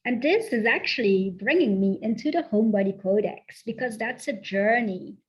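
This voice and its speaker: A woman from the south of the Netherlands with a Dutch accent, speaking slowly and softly as a spiritual coach in a channeled guided talk, repeating her affirmations. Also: fairly high pitch, poor audio. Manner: slow, soft, affirming, repeats phrases.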